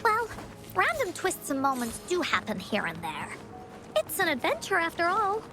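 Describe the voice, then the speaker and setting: A woman's voice: very high-pitched, huge pitch swings, moderate pace, music in the background, full character voice. A woman voicing a bubbly, brave little girl adventurer in an anime-style video game. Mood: cheerful, reassuring.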